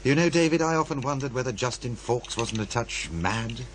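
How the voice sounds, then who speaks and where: An actor playing a wry, needling radio producer who muses and insinuates in radio drama dialogue. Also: male voice, medium pitch, normal speed, a little hiss or hum, natural voice. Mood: musing, teasing.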